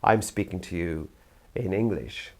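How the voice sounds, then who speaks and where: A man's voice: low voice, unhurried, darkish tone. An older Irish man from the theatre world gives an earnest, thoughtful talk to camera. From Ireland. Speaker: youth theatre practitioner.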